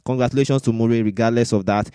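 A man's voice: medium pitch, steady tone, very fast, warm tone, clear, resonant tone, no room sound, clean studio sound. A man hosting a sports discussion, giving opinionated, argumentative commentary with points repeated for emphasis. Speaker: sports podcast host.

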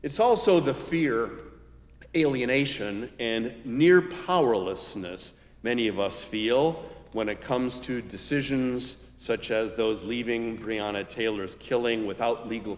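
A man, a pastor, delivering a prepared sermon with deliberate, formal phrasing. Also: medium pitch. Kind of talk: sermon read from prepared text. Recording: reverberant hall, dull muffled sound.

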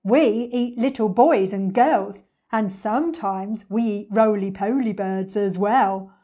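A woman voicing an enormous, boastful, greedy male crocodile in a children's storybook, gloating and savouring the words with slow menace. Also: medium pitch, dark tone. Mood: gleeful, boastful. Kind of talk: dramatic children's storybook reading.

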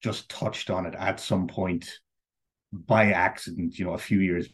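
A man's voice: deep voice, fairly even intonation, measured pace, husky. An Irish man, a guest on an interview, telling his story in a casual, rambling way full of "you know" and "like". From Ireland.

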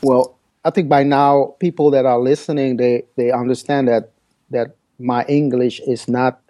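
A man's voice: medium pitch, fairly even intonation, moderate pace, dark tone. A man whose first language is Dutch, speaking English in a podcast interview as the guest, often repeating words as he searches for phrasing.

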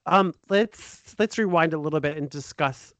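A man's voice: a little high, moderate pace, fairly bright tone, dry recording. An American man hosting a podcast, interviewing in a casual, informal and enthusiastic conversational way. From United States. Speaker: podcast host.

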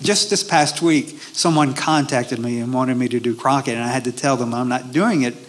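A man talks through an interview in halting steps, leaning on frequent fillers between phrases. His voice sits at an ordinary middle pitch. He describes his stage work plainly. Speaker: performer of a one-man history show, interview guest. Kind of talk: casual interview talk.

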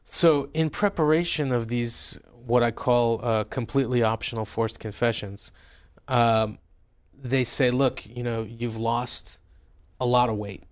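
A man's voice: mid-range voice, fairly even intonation, unhurried. A man, a journalist and author, recounting his experiences in a wry, conversational way with many fillers and restarts. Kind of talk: interview, personal storytelling.

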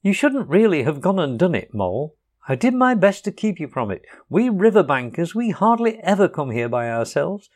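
A man's voice: a little high, big pitch swings, normal speed, pitched above the performer's own voice. A man voicing a brave, good-natured male water rat who talks heartily and reassuringly.

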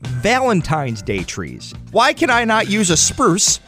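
A man's voice: high-pitched, wildly swooping pitch, slow, bright, forceful tone, soft background music. A man speaking as a radio host, trading jokes and exclamations in a wisecracking, bantering manner.

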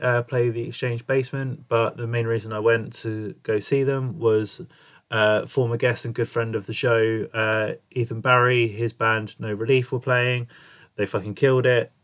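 A young man talks into a podcast mic, his voice stuffy and nasal from a cold. He rambles casually, swearing in passing, at a mid pitch. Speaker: podcast host. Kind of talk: casual podcast intro monologue.

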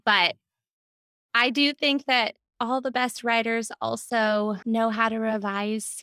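A woman talks as a guest in a casual interview, with a medium-pitched voice. She explains things reflectively and at some length, and the sound is dry with little room around it. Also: a bit bright, dry recording. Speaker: podcast guest, book editor.

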